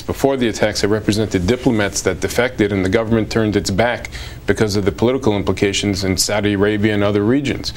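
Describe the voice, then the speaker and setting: A man speaking as an immigration lawyer in a TV interview, measured and formal. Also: on the low side, a little hiss or hum.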